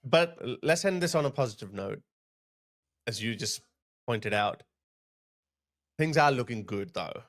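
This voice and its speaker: A man's voice: mid-range voice, expressive, measured pace, pauses often, fairly bright tone. A man hosts an interview in a mid-range voice. He speaks earnestly and leans hard on his key points. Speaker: podcast host. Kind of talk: conversational interview.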